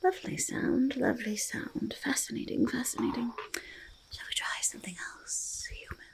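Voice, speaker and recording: A woman voicing a small, curious, gentle goblin in an ASMR roleplay, whispered and soft-spoken, breathy and creaky, often repeating little phrases. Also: fairly high pitch, expressive, moderate pace. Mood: fascinated. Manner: refers to self in third person, repeats phrases softly. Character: small curious goblin, gentle, eager, easily delighted hoarder.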